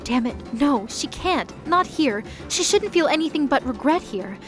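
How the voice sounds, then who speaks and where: A woman giving steady, scripted storytelling narration for an audio drama. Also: sits high, moderate pace. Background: background music.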